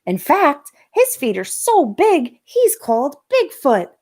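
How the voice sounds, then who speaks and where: A woman plays an eager young boy cat from a children's storybook. The delivery is dramatic and swings widely in pitch, lifted above the reader's usual voice, with a slow, drawn-out pace. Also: wildly swooping pitch, darkish tone, heavily acted. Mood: excited, spooky. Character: Bob, excited boy cat telling spooky campfire tales. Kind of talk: children's storybook read-aloud.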